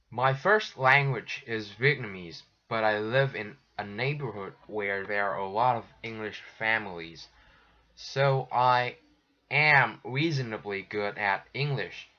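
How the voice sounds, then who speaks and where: A man's voice: fairly low pitch, sharp tone. A man going through textbook exercises as an English teacher, patiently, with frequent restarts. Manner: patient, slow, restarts often. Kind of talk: recorded textbook lesson walkthrough. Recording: dull lo-fi sound.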